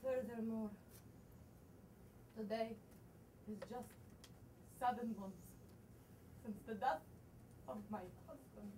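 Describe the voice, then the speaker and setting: A woman's voice: fairly high pitch, fairly even intonation, takes it slowly, long pauses, warm tone. A woman acting a proud, melodramatic, imperious widow in mourning in a theatrical stage play. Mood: haughty, firm. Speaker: student actor. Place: small theater stage, far camera. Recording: distant stage recording with noise.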